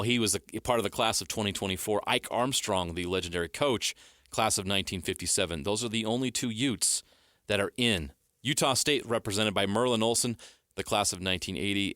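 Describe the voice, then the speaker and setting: A man hosting a sports radio show, talking briskly and leading the segment. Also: on the low side, a bit bright, no echo, clean studio sound. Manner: brisk, upbeat, leads the segment.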